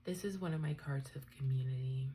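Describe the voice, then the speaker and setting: A woman with a stuffed-up cold, doing a tarot reading in a soft, often half-whispered, musing way. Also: extremely low pitch, a little fast, slight background noise. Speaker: tarot reader. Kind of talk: unscripted tarot reading for viewers.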